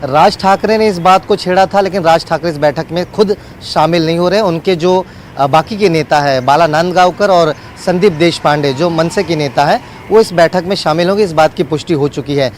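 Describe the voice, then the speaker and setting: A man's voice: fairly high pitch, a little fast, a little hiss or hum. A man giving a wordy, unscripted live news report as a field reporter, often repeating set phrases.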